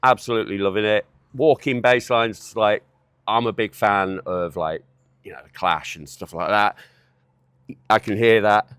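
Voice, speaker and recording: A man's voice: on the low side, steady tone, normal speed, a bit bright, clean tone, no room sound. Middle-aged male interviewer, dry close sound. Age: 40s. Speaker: interviewer, magazine series host. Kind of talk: casual on-location interview chat. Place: outdoors in a public park.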